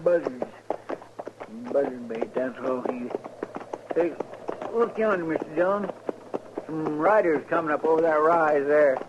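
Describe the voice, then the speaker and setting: An actor playing a folksy, excitable frontier deputy with a rural American twang in a 1950s radio western. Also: male voice, a little high, measured pace, pauses often. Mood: curious. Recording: noisy muffled 1950s radio recording.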